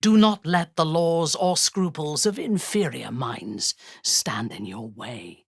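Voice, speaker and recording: A woman playing a ruthless, proud female dark elf warrior in a video game, speaking in a haughty, deliberate, menacing way. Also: deep voice, lively intonation, vocal fry, husky, no room sound, studio quality, full character voice. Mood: menacing, contemptuous. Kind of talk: video game character dialogue.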